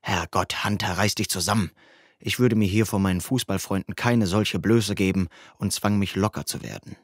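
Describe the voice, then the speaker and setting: Audiobook narrator: man with a fairly low voice, very dry studio sound, first-person youth fiction. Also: fairly even intonation, moderate pace, husky, no echo.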